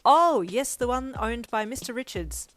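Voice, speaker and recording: A woman's voice: medium pitch, a little fast, dry recording, pitched above the performer's own voice, close to an everyday voice. A woman voicing a cheerful, polite male estate agent in an audio story, with a businesslike, helpful manner. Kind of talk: dramatic audio story reading. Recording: music under the voice.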